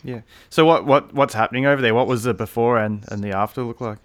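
A man's voice: medium pitch, quick pace, fairly bright tone, no room sound. A man with an Australian accent hosting a podcast and chatting casually as he interviews a guest.

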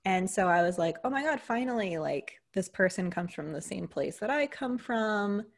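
A woman's voice: mid-range voice, lively intonation, normal speed, creaky. A young American woman, a leadership coach, telling her story earnestly in a casual interview, with fillers along the way. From United States.

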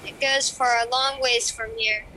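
A woman's voice: fairly high pitch, fairly even intonation, normal speed, background noise, natural voice. A young girl playing a confident, streetwise dragon in a children's audio drama, with a casual, wry manner. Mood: reassuring.

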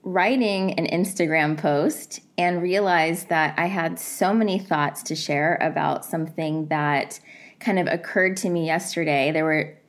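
A woman's voice: sits low, measured pace. A woman in her forties hosting a podcast, telling a personal story in a reflective, conversational way, a little nasal from a head cold. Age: 40s. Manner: reflective, conversational, with fillers like "you know" and "like". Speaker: podcast host.

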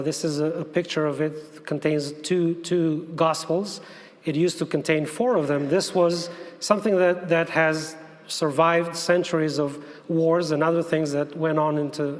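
Male guest missionary speaker, Albanian accent, slightly high voice, halting delivery, recorded in a reverberant hall. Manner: halting, with frequent repeated words. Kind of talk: missions presentation to a church conference. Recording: echoey large hall, long reverb.

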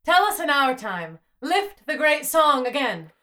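A woman's voice: high voice, very animated, unhurried, sharp tone, full character voice. A woman playing a fervent, reverent bard, declaiming ceremonial verse in a classical audio drama. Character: reverent bardic woman invoking the Muse, fervent.

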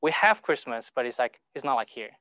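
Man, halting broken English, church talk, dry sound. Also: medium pitch, expressive, pushed and bright, no echo. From Hong Kong. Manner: halting, repeats words, broken grammar. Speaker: speaker sharing testimony. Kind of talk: informal talk to a church group.

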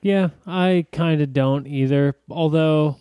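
Blunt male podcast host, slightly high voice. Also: mellow, clear, resonant tone, dry recording, studio quality. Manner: leads the talk, quick blunt remarks. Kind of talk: casual chat.

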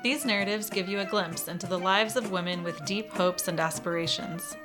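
Female podcast host, scripted intro, lowish measured read, guitar bed. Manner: measured, read-aloud delivery. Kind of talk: scripted narration. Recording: guitar music underneath.

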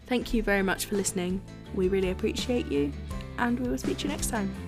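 A woman's voice: mid-range voice, fast talker, over music. A woman co-hosting a book podcast, chatting casually and laughing often. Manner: chatty, laughs often, reads blurbs aloud. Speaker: podcast co-host.

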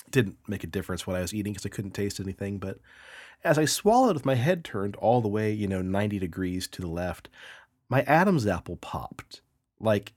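A man in his thirties co-hosting a podcast, a little nasal from a sinus infection, telling animated stories with swearing and laughter. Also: on the low side. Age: 30s. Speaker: podcast co-host. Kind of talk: casual chat.

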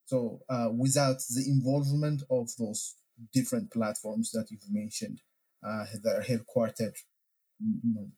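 Measured Ethiopian-accented man, warm voice. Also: lowish voice, poor audio. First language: Amharic and Afan Oromo. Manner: measured, deliberate, many fillers. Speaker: researcher guest. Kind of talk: interview answers.

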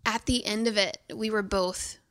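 A woman's voice: medium pitch, steady tone, moderate pace, fairly bright tone, no echo. A woman telling a personal story in a casual podcast conversation, candid and full of fillers.

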